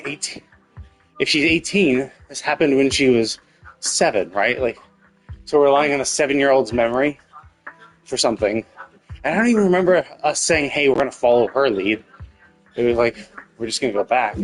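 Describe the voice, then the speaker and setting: A man's voice: medium pitch, expressive, fairly quick, long pauses, soft background music, low-quality recording. A man commentating casually on a live game stream, thinking aloud in a hesitant, rambling way and repeating himself, with casual swearing.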